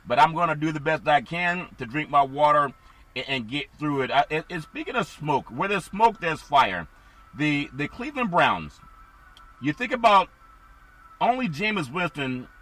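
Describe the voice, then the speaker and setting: A man hosting a casual sports talk show, his voice a little scratchy and raspy, rambling through teams before turning to his co-host. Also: a little high, fairly bright tone. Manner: rambling, asks co-host for thoughts.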